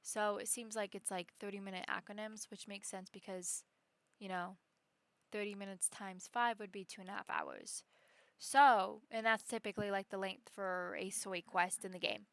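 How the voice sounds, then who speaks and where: A woman's voice: medium pitch, lively intonation, normal speed, vocal fry, a bit bright, no room sound. A woman streaming a video game, chatting casually to her viewers with game music behind her. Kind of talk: casual livestream chat while playing a game.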